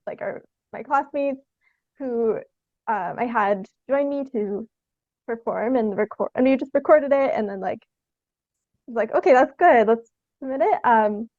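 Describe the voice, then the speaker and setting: High-voiced young woman, muffled audio. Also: measured pace, dry recording. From Canada. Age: young adult. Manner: informal, often giggling mid-sentence. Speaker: theatre artist being interviewed. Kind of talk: casual interview chat.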